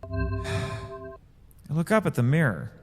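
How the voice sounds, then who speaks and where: A man with a theatrical, excitable delivery, acting and narrating a scripted first-person drama. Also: a little high, takes it slowly, faint music behind. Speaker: host and narrator of a fiction podcast.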